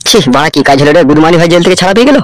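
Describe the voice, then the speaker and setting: A man's voice: sits high, expressive, rapid-fire, slight background noise. A man voicing a blustering, easily scared local gangster boss in a comedy cartoon. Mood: alarmed, tense. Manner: stammers when alarmed. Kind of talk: amateur comedy cartoon dialogue.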